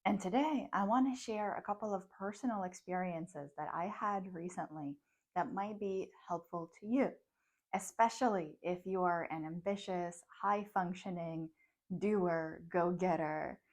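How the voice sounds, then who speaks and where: A woman's voice: on the low side, a little slow. A woman in her thirties, a psychologist and coach whose first language is Russian, talking reflectively to camera and laughing often between thoughts. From grew up in the United States from age ten, born abroad. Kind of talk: personal talk to camera.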